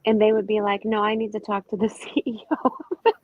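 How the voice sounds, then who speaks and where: A woman's voice: medium pitch, level tone, fast talker, no echo, muffled recording. A middle-aged woman with a young-sounding voice, chatting on a live stream and rambling through her stories. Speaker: panel guest. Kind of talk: casual chat on a live stream. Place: indoors at home. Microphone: computer mic on a video call.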